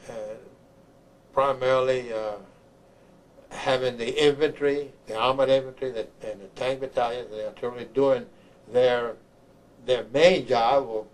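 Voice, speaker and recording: An older man from Honduras, an army veteran, recounts his memories haltingly, repeating words as he searches for the next one. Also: mid-range voice, poor audio. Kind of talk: oral history recollection. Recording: clear background noise, room ambience.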